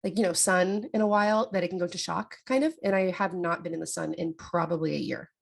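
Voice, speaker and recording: A woman's voice: on the low side, fast, gravelly. A congested young woman co-hosting a casual podcast, playful and agreeable as she tells stories. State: congested from a cough. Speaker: podcast co-host. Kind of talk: casual chat.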